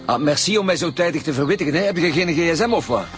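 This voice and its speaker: An actor with a Flemish accent playing a grumpy, sarcastic chief detective in a radio drama, speaking curtly and dryly. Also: male voice, a little high, lively intonation, very fast, a bit bright. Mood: sarcastic, irritable. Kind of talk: naturalistic radio drama dialogue. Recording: faint music behind.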